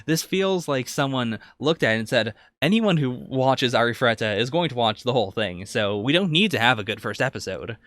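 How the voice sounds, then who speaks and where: A man's voice: mid-range voice, animated, brisk, hardly any pauses, fairly bright tone, no echo, studio quality. A man co-hosting a podcast, talking casually and conversationally in a review discussion. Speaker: podcast co-host.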